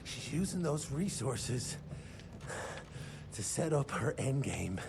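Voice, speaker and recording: Gravelly slippery snitch, slow and wavering, noisy game audio. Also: male voice, very animated, heavily acted. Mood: conspiratorial. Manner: chattering, hesitant, shrill when panicked. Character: sly talkative male arms-dealer informant. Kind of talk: video game cutscene acting.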